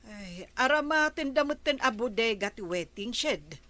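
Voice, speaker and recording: An actress with an Ilocano accent playing a blunt, complaining village neighbour in a radio comedy drama. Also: female voice, a little high, lively intonation, normal speed, pushed and bright, dry recording.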